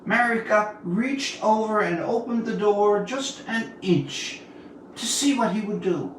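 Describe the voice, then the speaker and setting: A man of about seventy from Newfoundland, Canada, reading his own tale aloud as a storyteller, with deliberate, dramatic pauses. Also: high voice, fairly bright tone. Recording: roomy, distant mic, faint background noise.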